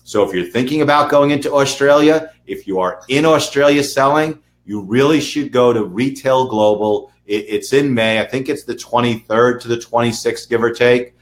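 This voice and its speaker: A man's voice: mid-range voice, moderate pace, hardly any pauses. A man with a New York accent hosting a webinar in a casual, emphatic way, often repeating words and checking in with "okay". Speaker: webinar host, lawyer.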